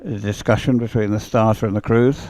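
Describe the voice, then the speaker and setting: A man commentates live on racing in a soft-edged, mellow voice. His delivery is unscripted and halting, often hesitating and wandering as he goes. The sound is dry, with very little room around him. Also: fairly low pitch, fairly even intonation, dry recording. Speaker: race commentator.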